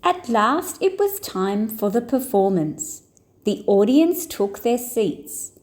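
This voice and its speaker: A woman storyteller reading a children's picture book aloud in an expressive, deliberate way. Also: a little high.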